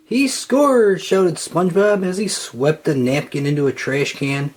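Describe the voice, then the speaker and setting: A storybook reader narrating a children's tale in an expressive, scripted style. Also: medium pitch, moderate pace.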